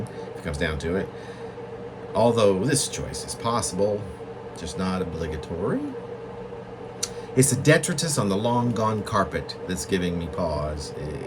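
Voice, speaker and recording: Low-pitched man reading poetry, animated intonation. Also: background noise. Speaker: poet reading own work. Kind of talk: literary poetry reading, reflective monologue.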